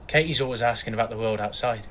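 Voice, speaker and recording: An actor playing a reserved, subdued neighbour and parent who talks in brief, understated replies in an audio drama. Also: male voice, medium pitch, fast talker, a bit bright, a little hiss or hum, close to an everyday voice.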